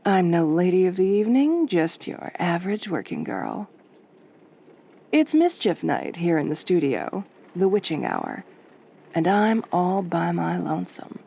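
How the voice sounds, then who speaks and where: Woman doing flirty wry night dj lady for audio roleplay, velvety soft n mellow, real slow drawl. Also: on the low side, sing-song, gravelly, exaggerated voice. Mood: sultry, playful. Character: sultry, teasing late-night radio DJ woman. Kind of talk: soft-spoken ASMR roleplay, radio host monologue.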